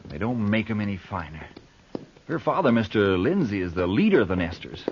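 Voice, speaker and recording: A man's voice: fairly low pitch, sing-song, fairly quick, slight background noise. A man playing a young, plain-spoken ranch cowboy with folksy speech, in 1940s radio western style. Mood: earnest, troubled. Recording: muffled old radio broadcast recording.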